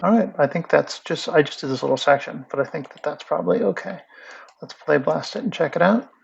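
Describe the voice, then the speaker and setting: A man's voice: fairly high pitch, brisk, gravelly, dull audio, faint music behind. A man teaching animation in a casual screen-recorded tutorial, rambling through steps with lots of fillers while he clicks. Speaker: animation instructor.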